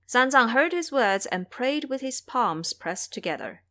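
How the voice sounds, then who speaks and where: A woman narrating an audio drama in an expressive storytelling style. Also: high-pitched, measured pace.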